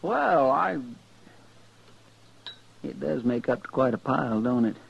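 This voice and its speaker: A man's voice: mid-range voice, animated, measured pace, gravelly, husky, heavily acted. A man with a rural American drawl playing a simple, good-natured, deferential deputy sidekick in a 1950s western radio drama. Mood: naive, sheepish. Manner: folksy, deferential, twangy. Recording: muffled 1950s radio recording.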